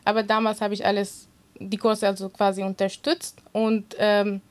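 A woman's voice: mid-range voice, little pitch movement, normal speed. Earnest woman, native Kurdish speaker. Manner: earnest, searching for words, many fillers. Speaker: interviewee, swimming instructor. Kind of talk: interview answers.

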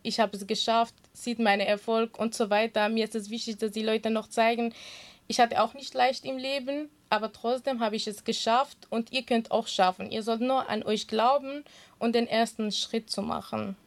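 A woman's voice: a little high, fairly even intonation, normal speed. A young woman, a native Kurdish speaker, earnestly answering interview questions about swimming and encouraging women, with frequent hesitations. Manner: earnest, hesitant with many fillers. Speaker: interview guest, swimming instructor.

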